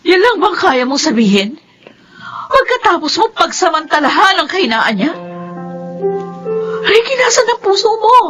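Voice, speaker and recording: Upset young woman, high sharp voice, pleading and reproachful, radio drama. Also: big pitch swings, moderate pace, pauses often, soft background music, full character voice. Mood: tearful pleading. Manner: emotional, tearful reproach. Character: Connie, indignant, wounded, pleading young woman. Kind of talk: Filipino radio drama acting.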